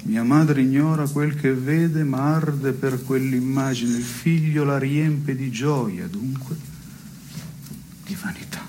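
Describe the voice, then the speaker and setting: An actor playing a dry, probing man in an absurdist family dialogue, speaking in deliberate, mock-formal phrases in a radio stage play. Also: mid-range voice, dark tone, slight background noise, natural voice. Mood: pensive, provoking.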